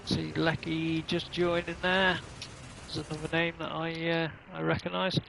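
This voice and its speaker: A man's voice: fairly high pitch, level tone, normal speed, gravelly, bright, forceful tone, a little hiss or hum. A man commentating casually on an online racing livestream, rambling along in an easygoing, hesitant way.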